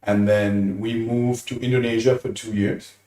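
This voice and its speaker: A man's voice: lowish voice, flat delivery, normal speed, warm tone, echoey room, far from the mic, rough recording. A man in his late thirties with a mixed, American-leaning international accent and Tamil as his first language, chatting loosely as an interview guest, often restarting his sentences. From Malaysia. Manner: rambling, restarts often, laughs easily. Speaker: guest, business owner. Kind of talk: casual interview chat.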